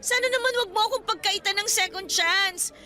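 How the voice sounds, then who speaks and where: A woman's voice: very high-pitched, fairly quick. A woman voicing a young female student who pleads emotionally in a radio drama. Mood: desperate. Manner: emotional, pleading, rising to shouts.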